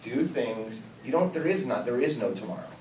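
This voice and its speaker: A man's voice: medium pitch, expressive, a little fast, darkish tone. Emphatic man speaking in an echoey room. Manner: emphatic, repeats key phrases for effect. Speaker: guest speaker. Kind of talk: energetic motivational talk to students. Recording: echoey room, distant mic, background noise.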